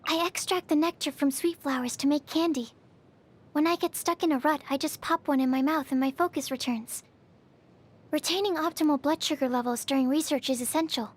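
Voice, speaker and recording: An actress voicing a shy, bookish young female bioalchemist in a video game, soft-spoken, hesitant, polite and precise, easily flustered. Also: high voice, fairly quick, natural voice. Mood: earnest, musing. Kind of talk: video game character voice lines.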